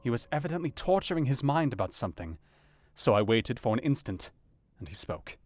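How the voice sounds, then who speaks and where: An actor playing an earnest doctor, narrating a dramatised audiobook in a measured, formal and dramatic way. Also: male voice, mid-range voice, very animated. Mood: grave, measured. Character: earnest male doctor recounting a grim night.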